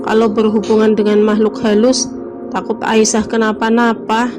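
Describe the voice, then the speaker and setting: A woman's voice: on the high side, monotone, normal speed, natural voice. A woman voicing an anxious young cartoon mother. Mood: worried. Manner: anxious, soft-spoken. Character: worried young mother at a hospital bed. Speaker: mother of a sick child. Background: background music.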